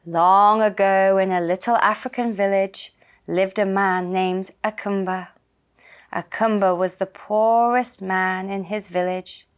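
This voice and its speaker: A woman narrating a children's puppet-show story in simple, deliberate phrases. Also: medium pitch, steady tone, clear, resonant tone.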